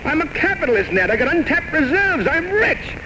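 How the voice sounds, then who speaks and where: A man's voice: very high-pitched, big pitch swings, fairly quick. A man playing an eloquent, charming but desperate drinker in an old-time radio drama, with florid, mock-grand, poetic delivery. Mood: needy, excited. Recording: noisy vintage radio recording with music.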